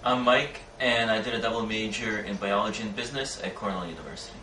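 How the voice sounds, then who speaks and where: Direct, lowish-voiced young man. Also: quick pace, pushed and bright. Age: young adult. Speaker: student panelist. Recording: roomy, distant mic with background noise.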